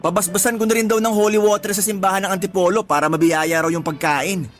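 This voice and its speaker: An actor playing a harried young husband, complaining in a radio drama. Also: male voice, high-pitched, steady tone, fast talker, natural voice. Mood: exasperated. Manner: exasperated, complaining. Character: harried young husband, exasperated and put-upon. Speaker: husband of a pregnant partner. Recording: faint music bed behind voice.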